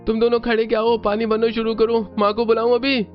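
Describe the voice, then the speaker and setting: A man's voice: extremely high pitch, completely flat delivery, fast talker, voice pushed up. A man voicing a spoiled, bullying teenage boy in a whiny cartoon, with music behind a muffled recording. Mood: cocky, bossy.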